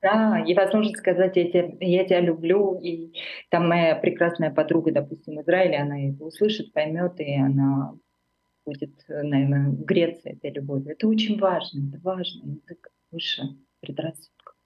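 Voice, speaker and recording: A woman's voice: low-pitched, steady tone, moderate pace, dull audio. A middle-aged woman from Armenia, a writer speaking as an interview guest in a reflective, searching way, full of fillers and self-corrections.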